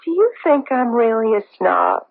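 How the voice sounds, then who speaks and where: An actress playing a guarded, defensive middle-aged society wife in a radio mystery. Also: female voice, on the high side, sing-song, moderate pace, creaky, exaggerated voice. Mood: indignant, defensive. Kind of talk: 1970s radio mystery acting. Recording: tinny, band-limited old radio broadcast.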